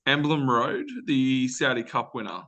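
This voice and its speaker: A man hosting an interview, asking questions and affirming briskly with frequent yeahs. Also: mid-range voice, sharp tone, dull audio. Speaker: host.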